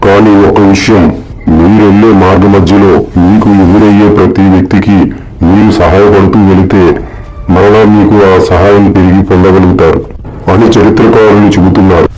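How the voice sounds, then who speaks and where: A man's voice: sits low, flat delivery, normal speed, lightly acted, low-quality recording. A man playing a solemn royal gatekeeper in a cartoon story, speaking formally and declaiming to warriors. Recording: very loud music behind the voice.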